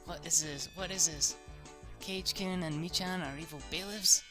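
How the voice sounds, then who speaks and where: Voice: mid-range voice, moderate pace, music in the background, voice pushed up, natural voice. The reader voicing a cute, playful teenage schoolgirl who eagerly scolds friends, in a visual novel read-aloud. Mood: playful indignation.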